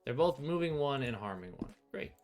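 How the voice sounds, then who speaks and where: A middle-aged man hosts a solo tabletop game in a casual, thinking-aloud style. His voice sits at an average pitch, with wry, playful exclamations. Music plays behind him. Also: very animated, brisk, darkish tone. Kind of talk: casual play-through commentary, thinking aloud. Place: small indoor room with bookshelves.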